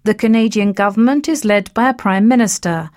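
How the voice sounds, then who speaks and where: A woman narrating an educational piece, reading facts in a measured, list-like way. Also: medium pitch, a little mellow.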